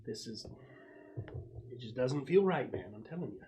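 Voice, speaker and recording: A man's voice: medium pitch, very animated, moderate pace, mellow, a little hiss or hum, close to an everyday voice. Man playing a jittery male military scout, gloomy, anxious, grumbling, tabletop roleplay. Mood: anxious complaining. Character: nervous, gloomy male soldier scout, given to complaining.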